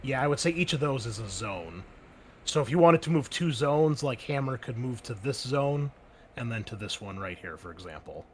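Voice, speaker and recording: A man's voice: medium pitch, expressive, normal speed, a little hiss or hum. A man serving as game master, talking loosely with lots of filler words. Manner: loose and chatty, full of "like" and "um" fillers. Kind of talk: running a tabletop game over a call.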